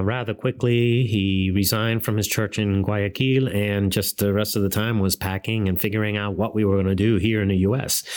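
A man in his fifties from Ecuador, a seminary vice president telling his life story in an interview, measured and full of fillers and restarts. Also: fairly low pitch. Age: 50s.

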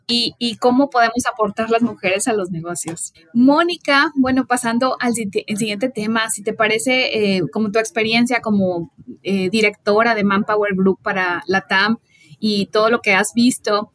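A woman's voice: a little high, dry recording. A woman, hosting an interview, speaking with hesitant restarts. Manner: warm, hesitant, many restarts. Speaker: podcast host.

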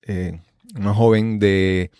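A man's voice: lowish voice, fairly even intonation, slow, warm tone, clear, resonant tone, dry recording, clean studio sound. A man hosting a podcast, introducing and interviewing in a conversational way. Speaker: podcast host.

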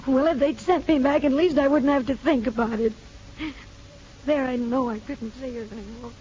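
A dull old radio recording carries an actress playing a desperate young mother. Her voice sits high, and she pleads in halting, emotional phrases in the manner of 1950s radio drama. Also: fairly even intonation, a little mellow, slight background noise, close to an everyday voice. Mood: despairing.

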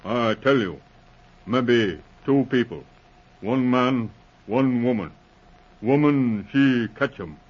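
An actor playing a terse, stolid tracker in halting broken English, in 1940s radio drama style. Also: male voice, medium pitch. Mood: stolid, matter-of-fact. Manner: halting, clipped pidgin phrases. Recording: dull lo-fi vintage radio recording.

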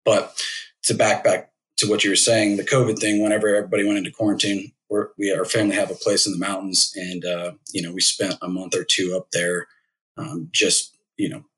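A man in his thirties, a podcast guest talking about his career in a measured, thoughtful way with many fillers. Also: sits low, level tone, fairly bright tone, low-quality recording. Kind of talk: casual interview.

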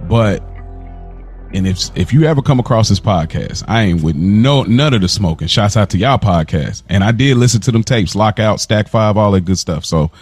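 A young man co-hosting a podcast in casual chat, telling stories in an animated way and laughing often. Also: lowish voice, moderate pace, soft background music. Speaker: podcast co-host.

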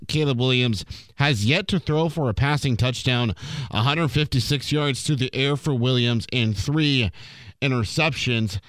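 A man's voice: mid-range voice, a bit bright, clear, resonant tone, no echo, low-quality recording. A male sports anchor with a mid-range voice delivers a scripted scoreboard report for radio. He reads scores and stats like a practiced announcer, in a very dry, close studio sound.

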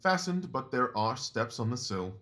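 A man's voice: mid-range voice, sing-song, normal speed, sharp tone. A man playing a confident, analytical Victorian detective in an audio drama, assured, precise and didactic in his talk. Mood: cool, courteous.

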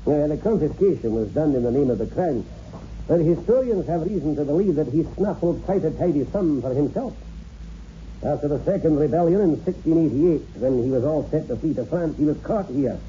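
An actor plays a suave male doctor in a radio chiller. His voice is very dark in timbre yet swoops expressively, with courteous, speculative phrasing. The old recording is dull and faintly hissy. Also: on the high side, lively intonation, fairly quick, lightly acted. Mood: musing. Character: urbane, speculative male village doctor, a bachelor. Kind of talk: 1960s radio horror acting.

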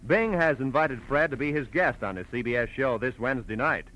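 A man's voice: mid-range voice, brisk, fairly bright tone, rough recording. A man with an American accent announcing in polished 1940s network radio style.

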